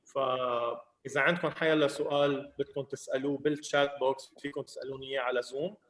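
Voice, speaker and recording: A man's voice: medium pitch, level tone, very fast, a bit bright, reverberant, a little hiss or hum, poor audio. A man with a Lebanese accent hosting an online video call. He switches between languages and uses many fillers. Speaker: host.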